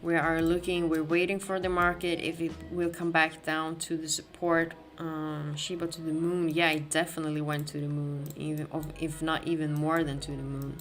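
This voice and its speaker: A woman's voice: deep voice, little pitch movement, moderate pace, hardly any pauses, faint music behind. A woman from Sweden with a Swedish accent, hosting a live crypto market stream, talking casually with many restarts and fillers as she reads the chat. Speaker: crypto livestream host.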